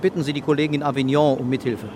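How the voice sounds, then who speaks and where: An actor playing an insistent police commissioner giving orders in a radio drama, formal and firm. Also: male voice, mid-range voice, steady tone, rapid-fire, a little mellow, lightly acted. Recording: clear traffic and outdoor background noise.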